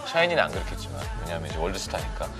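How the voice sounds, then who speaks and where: A man hosting a talk show with playful, teasing and expressive banter. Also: sits low, very fast. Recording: loud background music. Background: music.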